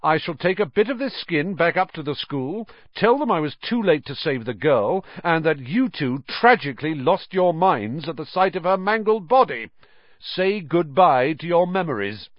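A man's voice: fairly high pitch, animated, normal speed, dry recording, pitched above the performer's own voice, lightly acted. A man doing a pompous gloating wizard villain. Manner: pompous, theatrical. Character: vain smiling male wizard villain, gloating. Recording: slightly dull dry recording.

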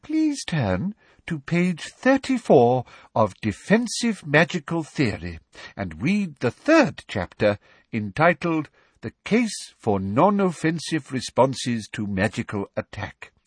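A man voicing a smug, prim woman schoolteacher giving measured orders in a storybook reading. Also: on the high side, wildly swooping pitch, husky, no echo, voice pushed up, full character voice. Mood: smug command.

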